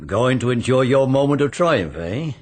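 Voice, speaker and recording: An actor playing a wry, exasperated police inspector in a radio drama, talking in a blunt, teasing, conversational way. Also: male voice, medium pitch, lively intonation, normal speed, lightly acted. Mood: wry exasperation. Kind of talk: radio mystery drama acting.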